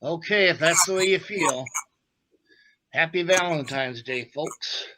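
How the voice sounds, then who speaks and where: A man's voice: on the high side, measured pace, very noisy, lightly acted. A man playing a tough, sly gangster henchman with insinuating menace in 1940s radio acting style. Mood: mocking. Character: Stuart, menacing gangster henchman delivering threats for his boss.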